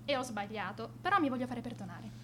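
A woman's voice: a little high, quick pace, pushed and bright, slight background noise. A woman playing a cheeky, evasive, touchy teenage schoolgirl in a naturalistic radio drama, with a slangy, offhand, defensive way of talking. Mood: earnest, coaxing.

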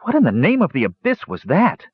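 Young male wizard for fantasy audiobook, man narrating: bookish, anxious, urgent, raised animated pitch, slightly husky. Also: moderate pace, dry recording, narrow, tinny audio. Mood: breathless, alarmed.

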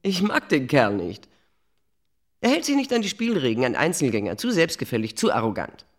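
A woman's voice: rumbling low, sing-song, a little fast, heavily acted. An actress playing an imperious, cynical middle-aged female editor-in-chief in a German radio drama, bossy, dismissive and sarcastic. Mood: contemptuous.

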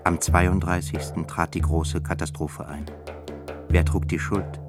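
Low male narrator, grave measured reading, clear music behind. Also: little pitch movement. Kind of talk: scripted narration.

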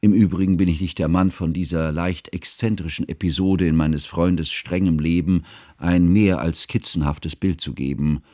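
A man acting a scholarly, scrupulous narrator in a radio play. He has a low voice and tells his friend's story in precise, ornate, reflective literary sentences. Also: steady tone, moderate pace, warm tone, dry recording, studio quality, natural voice. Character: learned, scrupulous friend narrating a composer's life.

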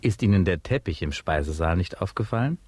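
An actor playing a formal, persistent interviewer in a German radio play, putting clipped questions. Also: male voice, low voice, lively intonation, brisk, darkish tone, husky, no room sound. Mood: formal, probing.

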